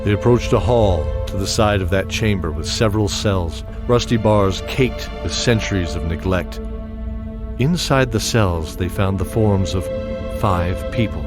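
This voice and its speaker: A man's voice: low voice, a little slow. A man narrating a scripted fantasy audio drama. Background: loud music.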